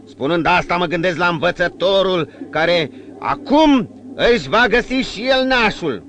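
A bellowing brutish knife-fighter. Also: male voice, sits high, expressive, a little hiss or hum, voice pushed up. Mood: boasting. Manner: loud, bellowing, triumphant. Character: burly hairy male knife-fighter, boastful and rowdy. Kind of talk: audiobook character voice.

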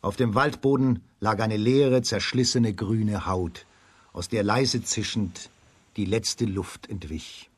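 A man with a husky voice narrating a children's story with dramatic emphasis. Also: lowish voice, slow pace, no echo. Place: dry studio.

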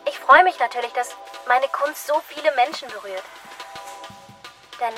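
A woman's voice: high voice, animated, moderate pace, over music. An actress in a radio play playing a starry-eyed young postwoman who dreams of stardom, with an earnest, showy manner. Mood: dreamy, earnest. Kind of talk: radio play acting, rehearsed stage introductions and interviews.

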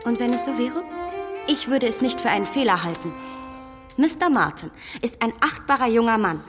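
Self-assured young woman, sharp and defiant, long reverb. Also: on the high side, lively intonation, normal speed, faint music behind. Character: clever, self-assured young woman who likes to matchmake. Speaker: Emma. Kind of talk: radio play acting.

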